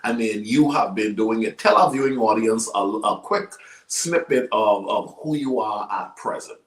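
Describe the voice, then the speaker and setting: A man's voice: fairly low pitch, normal speed. Folksy, emphatic man in an echoey room. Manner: folksy, emphatic, repeats phrases for effect. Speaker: talk show host, life coach. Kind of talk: informal interview, exuberant show opening. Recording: roomy, echoey sound.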